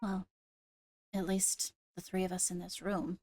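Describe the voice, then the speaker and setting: A woman speaking in a measured, diplomatic way, improvising in-character conversation in a game roleplay. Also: lowish voice, completely flat delivery, darkish tone. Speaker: roleplayer playing a court leader.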